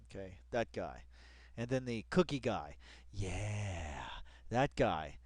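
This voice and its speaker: A man's voice: lowish voice, expressive, takes it slowly, darkish tone, no echo. A man hosting a casual read-along commentary in slangy, colloquial speech, emphatically repeating phrases and addressing people directly. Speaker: online video host.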